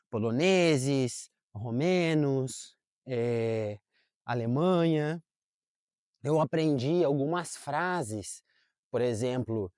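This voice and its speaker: A man's voice: a little high, a little mellow, no room sound, clean studio sound. A young adult man talking casually to camera in a park, chatty and full of fillers. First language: Portuguese. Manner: chatty, self-correcting, lots of fillers. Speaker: vlogger. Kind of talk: casual vlog talk to camera. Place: outdoors in a park.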